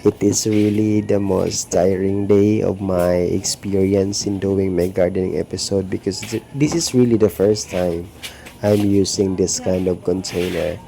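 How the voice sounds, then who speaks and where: A man with a Filipino accent narrating a home gardening vlog in a slow, earnest way. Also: deep voice, steady tone, creaky, dark tone, slight background noise. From Philippines. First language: Tagalog or Filipino. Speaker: gardening vlogger.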